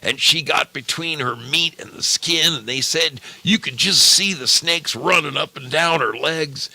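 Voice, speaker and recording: Guy doing a country folk witness telling a creepy old legend, raised pitch, rambly, gravelly, storybook reading. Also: sharp tone, no echo, exaggerated voice. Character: rustic folk witness recounting a gruesome snake legend. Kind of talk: oral-history folk tale telling.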